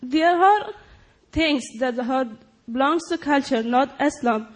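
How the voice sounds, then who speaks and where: A woman's voice: sits high, slow pace. A young woman from Afghanistan, not a native English speaker, asking a question politely and hesitantly. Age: young adult. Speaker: audience member asking a question. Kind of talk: audience question.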